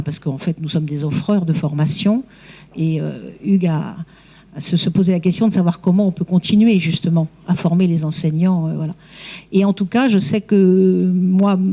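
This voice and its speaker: Mellow-voiced woman, teacher trainer explaining. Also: fairly low pitch. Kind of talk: spontaneous remarks in a seminar debate.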